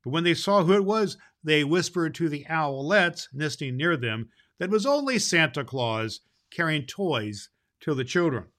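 A man hosting and reading a Christmas storybook aloud to children in an expressive way. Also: a little high, normal speed, few pauses. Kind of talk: storybook read aloud with informal host talk.

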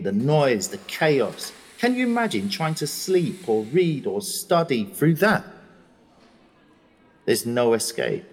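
Middle-aged male prisoner, husky, reflective, indignant, confiding, hall-like reverb. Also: mid-range voice. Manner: articulate, measured, confiding. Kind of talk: audio drama monologue.

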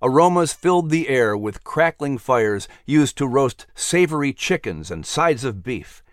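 A man's voice: mid-range voice, big pitch swings, measured pace, dry recording. Dry-sounding male storybook narrator.

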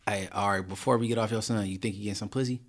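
A man co-hosting a podcast in casual chat, teasing his co-host and turning loud and insistent when he pushes a point. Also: on the low side, steady tone, rapid-fire.

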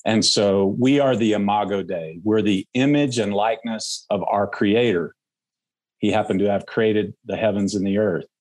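A man speaking as a guest on a podcast, earnest and measured as he reflects on his views. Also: fairly low pitch, a little mellow, low-quality recording. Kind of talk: casual interview conversation.

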